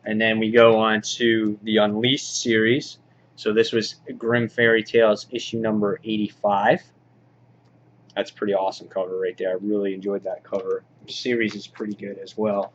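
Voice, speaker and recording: A man giving an informal, halting show-and-tell commentary as a hobby vlog host, in an understated way. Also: fairly low pitch, level tone. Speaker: vlog host showing a comic book collection. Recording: dull lo-fi audio, clear background noise.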